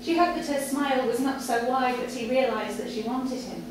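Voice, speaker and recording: A woman's voice: high-pitched, normal speed. A woman reading a story aloud to a live audience in an indoor cafe bar, recorded from a far camera. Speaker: reader at a live literary event. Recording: echoey noisy room, far from microphone. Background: audience ambience and background noise.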